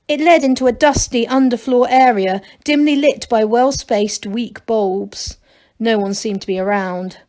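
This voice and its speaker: A woman reading a children's story aloud like a teacher, in expressive narration. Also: a little high.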